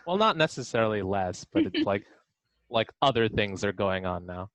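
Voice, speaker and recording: A man's voice: fairly low pitch, very animated, fairly quick, a bit bright, no echo, low-quality recording. A man on a casual panel, reading out written answers and chatting about them.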